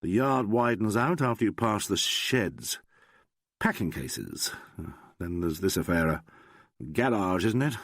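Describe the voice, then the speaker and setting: A man doing an urbane, thoughtful gentleman detective from an audiobook, murmuring with quiet, wry calm. His pitch still moves with lively shape. It is recorded dry and close. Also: unhurried, dry recording, exaggerated voice. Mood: musing.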